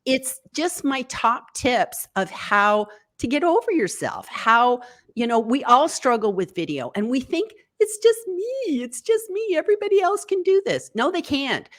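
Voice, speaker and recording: A woman guest on a live video interview, giving encouraging tips in an animated way and leaping up in pitch for quoted thoughts. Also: a little slow. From United States. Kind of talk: online interview. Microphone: video call.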